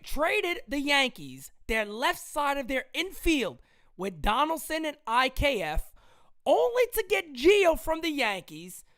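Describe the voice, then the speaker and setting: A man hosting a live-stream sports show, talking casually and excitably, muttering one moment and yelling the next, his voice strained. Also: very high voice.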